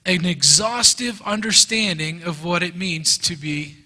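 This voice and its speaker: A man teaching a Bible class in English, speaking earnestly in short phrases and pausing so an interpreter can translate. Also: high-pitched, pushed and bright. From United States. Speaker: guest teacher. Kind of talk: lecture given through an interpreter. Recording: reverberant hall.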